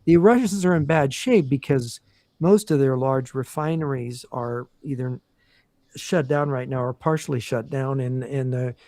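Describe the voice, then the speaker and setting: A man talking as a guest on a podcast, explaining at a measured pace with many fillers and restarts. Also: mid-range voice, darkish tone, no echo, studio quality. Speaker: guest analyst. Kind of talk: casual podcast interview.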